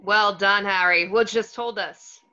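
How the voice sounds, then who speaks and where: A woman's voice: mid-range voice, steady tone, measured pace, bright, forceful tone. A woman playing a cheeky, teasing teenage wizard boy in a table read, on dull lo-fi audio. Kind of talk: amateur online script table read.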